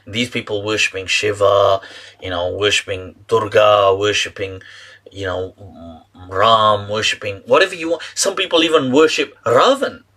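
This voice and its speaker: A man giving a casual lecture as a religious commentator, animated and full of restarts, laughing at the claims he disputes. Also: on the low side, moderate pace.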